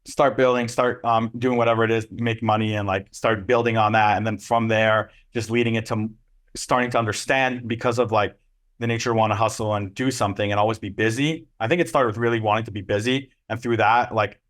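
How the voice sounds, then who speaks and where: A man's voice: on the low side, steady tone, fairly quick, a bit bright. A young man from Israel, answering interview questions casually and loosely, with many ums and restarts. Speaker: agency co-founder and CEO.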